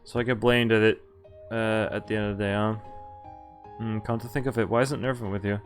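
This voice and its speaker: A man streaming a visual novel, reading it aloud and commenting casually in a halting way with offhand swearing. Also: on the low side, steady tone, music in the background. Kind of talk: casual game walkthrough with read-aloud narration.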